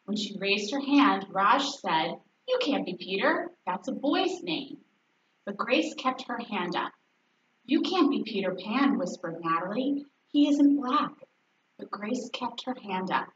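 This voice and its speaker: A woman's voice: medium pitch, expressive, a little slow, a bit bright. A woman reading a children's story aloud, narration and dialogue, as a teacher. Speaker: fourth grade teacher. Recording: echoey, distant, faintly noisy, dull recording.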